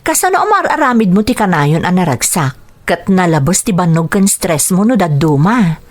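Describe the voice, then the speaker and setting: A woman's voice: on the low side, very animated, normal speed. A woman with an Ilocano accent playing a respectful, friendly hospital nurse in a radio drama. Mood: friendly, admiring.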